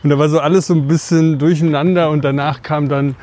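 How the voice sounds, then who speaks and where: A man's voice: fairly high pitch, steady tone, fast, a little mellow, a little hiss or hum. A man in his forties from the Odenwald in southern Hesse, co-hosting a podcast and telling rambling personal anecdotes in casual conversation. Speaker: podcast co-host.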